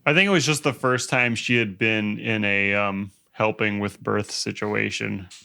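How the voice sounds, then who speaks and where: A man's voice: lowish voice, steady tone, unhurried, vocal fry, bright, forceful tone, clean tone. A man chatting casually and jokingly on a podcast.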